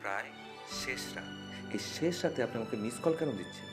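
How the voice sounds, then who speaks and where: An actor playing a mature, exacting man in a Bangla television drama, speaking in a measured, halting, pedantic way. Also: medium pitch, animated, over music, natural voice. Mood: annoyed, dry. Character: mature, exacting, reluctantly charmed man woken at night.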